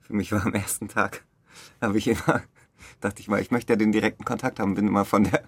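A man with a lowish voice and plenty of creak talks in a dry acoustic. He answers as a theatre director in a casual interview, searching for phrasing and restarting as he reflects. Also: steady tone, fast, no room sound. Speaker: theatre director interviewed about a radio play.

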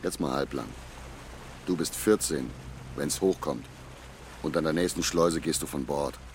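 Brief: blunt barge skipper, low voice, grumbling but caring, radio drama, loud engine noise. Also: male voice, measured pace. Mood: gruff, exasperated. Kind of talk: radio play acting, colloquial.

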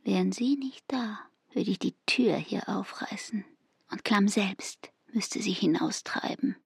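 An actress playing a bitter, confiding barmaid in a literary radio drama, in a breathy, intense whisper. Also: female voice, medium pitch, no room sound, clean studio sound. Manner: hushed, intense, slow.

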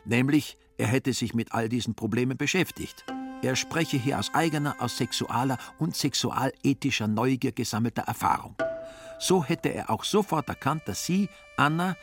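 A man's voice: mid-range voice, normal speed, music in the background. A man delivering scripted, ironic literary narration as the storyteller of a radio play.

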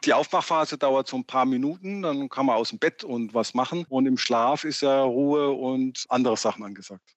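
A man's voice: mid-range voice, normal speed, no echo, muffled recording. A man speaking as an expert guest in an interview, explaining step by step in plain, simple words. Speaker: expert guest, dream researcher.

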